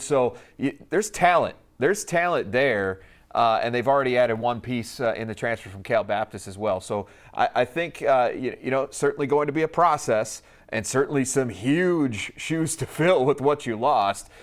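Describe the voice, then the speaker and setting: A male sports panelist with a medium-pitched voice. He talks casually and emphatically, stretching key words as he breaks down the games. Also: moderate pace.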